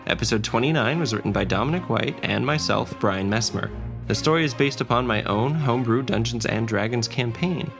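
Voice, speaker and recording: A man's voice: medium pitch, a little fast, vocal fry. A man narrating a scripted fantasy adventure story as its dungeon master and storyteller. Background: faint ambience and music.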